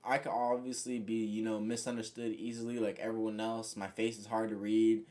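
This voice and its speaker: A young man talking casually and reflectively to his viewers, rambling with lots of "you know" and "like", in a voice he calls monotone. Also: fairly low pitch, a little fast. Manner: says he has a monotone voice. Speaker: vlogger. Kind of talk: casual reflective monologue to camera.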